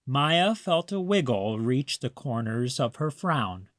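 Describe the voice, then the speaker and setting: A storyteller reading a picture book aloud for young children, expressively and deliberately, stressing words. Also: mid-range voice, very animated, no echo, clean studio sound. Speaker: children's read-aloud narrator.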